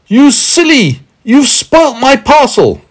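Storybook big man, played by a male reader: indignant, loud, voice pushed high, forceful scolding. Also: animated, unhurried, gravelly, heavily acted. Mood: shouting, indignant. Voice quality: shouting, strained high. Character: angry big man, loudly scolding and impatient.

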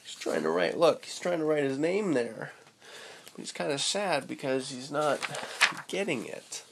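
Expressive young man reading a children's story. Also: fairly high pitch, a little slow, gravelly. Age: young adult. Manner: playful, expressive. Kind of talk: expressive read-aloud with casual asides to children. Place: indoors, small room with curtain.

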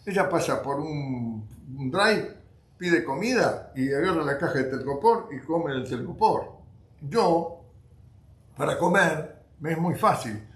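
A man's voice: fairly high pitch, animated, measured pace, reverberant. A man from Argentina with an Argentine accent, co-hosting a casual comedy chat show and rambling through self-mocking stories. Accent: Argentine Spanish. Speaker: co-host.

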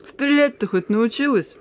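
Defiant, weary young messenger girl. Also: a little high. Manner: familiar, insistent, needling. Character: weary, defiant, teasing young woman, partisan messenger under arrest. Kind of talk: Russian radio play acting. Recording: radio drama with music.

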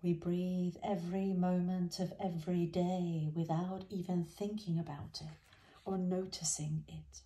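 Female meditation guide: dark, soft-toned and soothing, very slow delivery, gentle phrasing. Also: on the low side, flat delivery. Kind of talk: guided body scan meditation.

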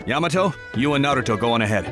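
A man's voice: mid-range voice, expressive, very fast, music in the background, natural voice. Concerned, practical ninja companion played by a man. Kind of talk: anime game dub acting.

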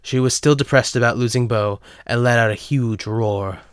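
A man's voice: mid-range voice, normal speed, clean tone, dry recording, clean studio sound. A man narrating a scripted dramatic story as the narrator.

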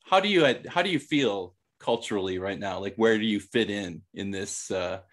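A man's voice: fairly low pitch, expressive, normal speed. A man in his thirties chatting casually as a podcast co-host, rambling and searching for words. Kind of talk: casual chat.

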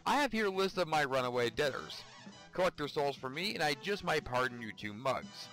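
A man's voice: fairly high pitch, big pitch swings, fairly quick, a bit bright, soft background music, pitched above the performer's own voice. A man voicing a gleefully menacing devil who owns a casino, theatrical and taunting, as in a storybook read-aloud. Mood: commanding.

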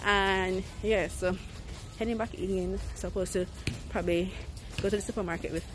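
A young woman chats casually as she vlogs on a handheld phone. Her voice sits at an ordinary pitch, with music underneath. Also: fairly even intonation, moderate pace. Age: young adult. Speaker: family vlogger. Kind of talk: casual vlog chat. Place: busy street and supermarket aisles. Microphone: handheld phone at arm's length.

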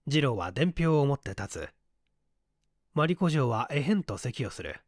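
A man's voice: mid-range voice, very animated, normal speed, no echo, studio quality. A man reading a story aloud as scripted audiobook narration. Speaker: audiobook reader.

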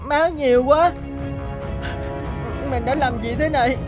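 A woman's voice: high-pitched, unhurried, over music. A woman voicing a panicky, guilt-stricken young village boy in a cartoon. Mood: panicked remorse. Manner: panicky, wailing.

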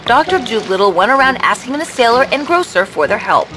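A woman's voice: fairly high pitch, sing-song, a little fast, sharp tone, soft background music. A woman narrator reading a scripted fairy tale as a storyteller.

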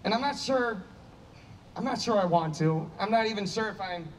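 A man's voice: high voice, lively intonation, normal speed. A man in his thirties in a staged play reading, playing a troubled, apologetic, self-doubting heavy drinker trying to stay sober. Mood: uneasy, doubting. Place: stage before a painted block wall. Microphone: handheld microphone. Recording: distant stage pickup with ambient noise.

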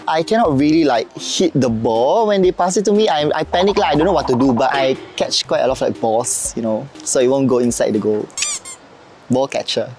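A man in his twenties with a Singaporean accent, joking at his own expense in a relaxed chat. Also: medium pitch, fairly quick, faint music behind. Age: 20s. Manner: self-mocking, easygoing. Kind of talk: casual chat. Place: outdoor football field.

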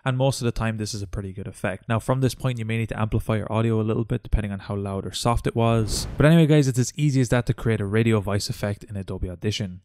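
A man narrating a step-by-step software tutorial as its host. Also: fairly low pitch, fast talker, hardly any pauses, no echo.

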